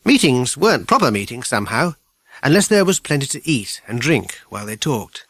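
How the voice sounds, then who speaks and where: A British man narrates a children's story from a script. His voice sits at a middling pitch and the phrasing is measured and clear. The recording is dry, with no room echo. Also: sing-song, a bit bright. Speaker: audiobook narrator.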